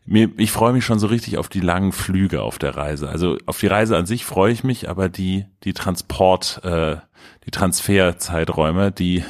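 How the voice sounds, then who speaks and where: Low-voiced man with a cold, chatting. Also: no echo, clean studio sound. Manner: relaxed, rambling with many fillers. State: has a cold. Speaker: podcast co-host. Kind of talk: casual podcast chat.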